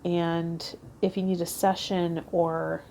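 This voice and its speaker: A woman's voice: fairly low pitch, flat delivery, takes it slowly, vocal fry, mellow, a little hiss or hum. A woman hosting a tarot vlog, reading aloud and talking to her viewers. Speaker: tarot channel host. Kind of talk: reading card meanings aloud and casual vlog talk.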